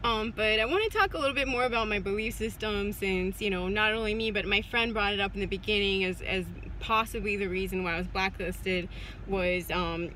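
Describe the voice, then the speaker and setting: A woman's voice: medium pitch, a little fast, bright, forceful tone, a little hiss or hum. A woman in her forties talking to her phone camera from a parked car, casual and hesitant with many fillers and restarts. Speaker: vlogger. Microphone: phone at arm's length.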